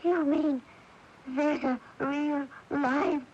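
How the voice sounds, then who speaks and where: A woman's voice: high-pitched, steady tone, drawn-out words, darkish tone, a little hiss or hum. A woman playing a timid, fluttery, easily shocked lady prone to fainting in a radio drama. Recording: dull, muffled old radio broadcast.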